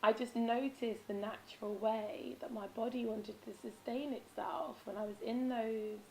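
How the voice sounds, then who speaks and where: A woman with a raspy, hoarse voice, chatting casually in a vlog about spiritual habits, with frequent ums and restarts. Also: a little high, fairly even intonation, measured pace, dark tone, a little hiss or hum, low-quality recording. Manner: rambling, with many ums and self-corrections. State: hoarse, losing her voice. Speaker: vlogger.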